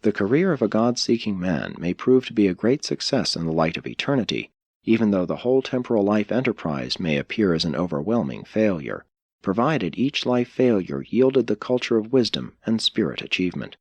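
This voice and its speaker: A man reading a philosophical religious audiobook in a measured, solemn, formal way. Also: on the low side, big pitch swings, breathy edge, no echo. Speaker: audiobook narrator. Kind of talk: scripted audiobook narration of a philosophical religious text.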